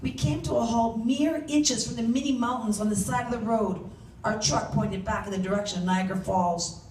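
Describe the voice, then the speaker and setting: High-voiced woman reading aloud, roomy sound. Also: normal speed. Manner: animated, acts out shouted dialogue. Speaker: author reading from their own novel. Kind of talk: dramatic first-person story reading. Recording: roomy, distant mic, faint background noise.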